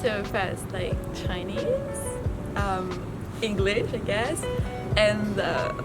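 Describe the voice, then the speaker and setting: A woman answers a street interview slowly, sounding unsure of herself. Loud music sits behind her voice. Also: medium pitch.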